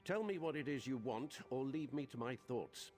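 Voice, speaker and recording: An actor playing a haughty, impatient, suspicious prince in a video game, speaking in formal, imperious phrases. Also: male voice, mid-range voice, expressive, moderate pace, a little mellow, faint music behind, close to an everyday voice. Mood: haughty irritation. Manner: imperious, disdainful, formal diction. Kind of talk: scripted video game dialogue.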